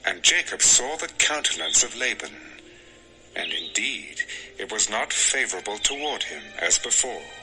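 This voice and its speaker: A man's voice: on the low side, big pitch swings, measured pace, noisy background. A man narrating scripture from a script as the narrator of an audio drama.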